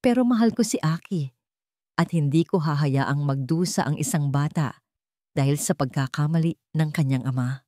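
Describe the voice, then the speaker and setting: A woman voicing a cold, steadfast young former housemaid in a Tagalog story, speaking in a firm, measured way. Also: deep voice, dark tone, dry recording, clean studio sound, close to an everyday voice. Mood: resolute.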